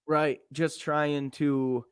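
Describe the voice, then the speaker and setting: A man's voice: on the high side, little pitch movement, very slow, clean tone, no room sound, clean studio sound. Rambling young man saying "bro" a lot. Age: 20s. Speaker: podcast co-host. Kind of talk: casual chat.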